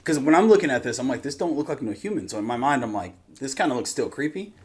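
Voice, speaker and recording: A man's voice: mid-range voice, sing-song, quick pace. An American man in a casual reaction chat. His voice is mid-range, and he talks in a joking, slangy way as he co-hosts. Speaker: reaction show co-host.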